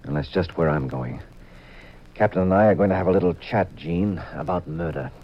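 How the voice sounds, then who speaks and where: An actor playing a cool, shrewd private detective, brisk and assured, in a hard-boiled 1950s radio drama style. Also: male voice, deep voice. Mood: cool, plotting. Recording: muffled, hissy 1950s radio recording.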